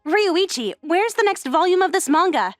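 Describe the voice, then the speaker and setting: A woman playing a poised, sharp-tongued rich high school girl in an anime-style manga dub, articulate and expressive. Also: moderate pace, no room sound, clean studio sound, faint music behind. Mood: whiny, demanding.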